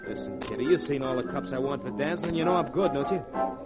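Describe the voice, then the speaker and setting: A slick, fast-talking young dancer full of slang and swagger, as an actor plays him in an old 1940s radio drama. Loud music plays underneath his lines. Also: medium pitch, natural voice. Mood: cocky. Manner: cocky, wisecracking, slangy. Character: slick young male dancer, brash schemer.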